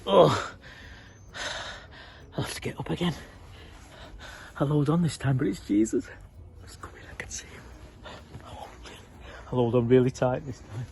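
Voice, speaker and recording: A storyteller voicing an excitable, clumsy little tax collector man who is flustered, breathless and apologetic. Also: mid-range voice, measured pace, creaky, pauses often, slight background noise. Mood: excited.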